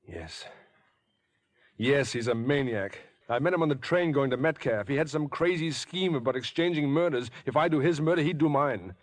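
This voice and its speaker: An American actor playing a decent, agitated young man cornered by murder, in tense, halting, earnest 1950s radio drama style. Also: fairly high pitch, animated, no room sound, lightly acted. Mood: desperate. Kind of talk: 1950s radio suspense acting. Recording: vintage 1950s radio recording with music.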